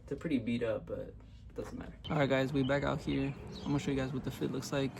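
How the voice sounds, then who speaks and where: A young man with a voice raspy from illness, chatting casually to viewers as he reviews and styles clothes at home. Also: medium pitch, fairly even intonation, fast talker, darkish tone, slight background noise. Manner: laid-back slangy talk to viewers. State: raspy from being sick. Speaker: fashion vlogger.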